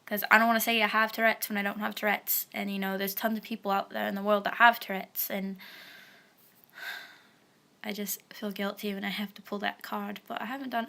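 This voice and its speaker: A woman's voice: mid-range voice, vocal fry, bright, forceful tone. A young woman vlogging about her own experience in a casual, frank way, with a stutter and a speech impediment, using lots of fillers and swearing. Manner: stutters and repeats words, many fillers, frank and profane. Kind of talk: casual personal vlog.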